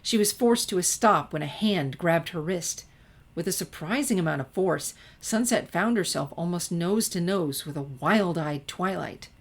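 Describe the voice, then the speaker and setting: Low-pitched woman narrating a story. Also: lively intonation, normal speed. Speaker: narrator, fan fiction reader.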